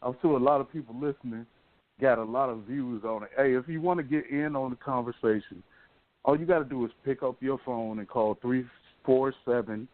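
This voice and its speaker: An American man co-hosting a radio talk show, speaking casually and candidly in colloquial, halting phrases. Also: medium pitch. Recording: narrow phone-like line, dry.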